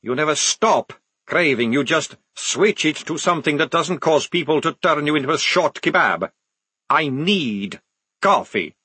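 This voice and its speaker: A man's voice: on the high side, expressive, measured pace, bright, forceful tone, husky, dry recording, voice pushed up, exaggerated voice. A man voicing an edgy young vampire soldier with a wry, jittery manner in an audiobook. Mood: agitated.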